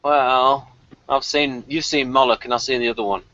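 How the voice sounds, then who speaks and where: A man with a bright, forceful voice hosts a casual review discussion. He rambles chattily, thinking out loud as he goes. The sound is dry and close, though slightly dull and lo-fi. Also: medium pitch, steady tone, normal speed, dry recording. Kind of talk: casual rambling fan commentary.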